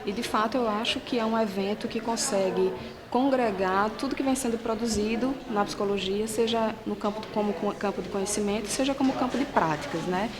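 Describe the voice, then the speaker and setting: A woman's voice: medium pitch, a little fast, crowd noise. A woman, a psychologist, giving an articulate, academic interview answer.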